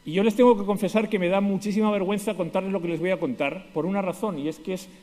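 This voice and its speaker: A middle-aged Spanish-accented man speaks quickly, with a fairly high voice, as he delivers a conference keynote. He is ironic and pokes fun at himself. His voice carries a long hall reverb. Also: fairly even intonation, a little mellow. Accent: Spanish from Spain. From Spain, Basque Country. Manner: ironic, self-deprecating humor.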